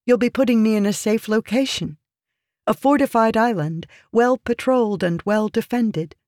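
A woman's voice: a little high, moderate pace, darkish tone, no echo, clean studio sound, voice pushed up, lightly acted. A woman voicing a pleading, calculating noblewoman in an audiobook, intense and feminine. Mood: calculated pleading. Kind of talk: audiobook character dialogue.

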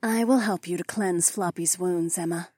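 A loyal saber-toothed woman warrior speaks in a soft, formal, earnest way, played by a man. Her voice sits higher than a man's but stays gentle. The sound is dry and close. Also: moderate pace, breathy edge, no room sound.

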